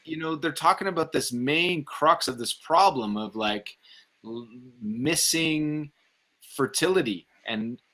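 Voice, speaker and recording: A man co-hosting a casual film review, giving his opinions in a halting, conversational way. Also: fairly high pitch, a bit bright.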